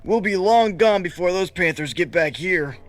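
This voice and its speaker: An actor voicing a cocky, sneering bully who leads a biker gang on a read-along record. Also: male voice, sits high, animated, moderate pace. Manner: sneering, brash.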